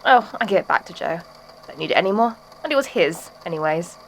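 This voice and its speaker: A woman's voice: on the low side, lively intonation, fast talker, vocal fry, slight background noise, low-quality recording. A woman voicing a serenely macabre female sculptor in an audio drama, musing chattily with blithe menace. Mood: smug. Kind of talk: audio drama monologue, conversational.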